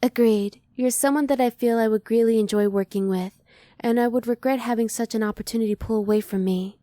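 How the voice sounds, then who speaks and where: A reserved female alien scientist, acted by a woman for an ASMR roleplay, close and very dry. The voice is mellow and soft, with careful, formal phrasing and a cool, curious restraint. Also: on the high side, steady tone, fairly quick, no room sound, lightly acted. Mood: relieved, grateful. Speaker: voice actor.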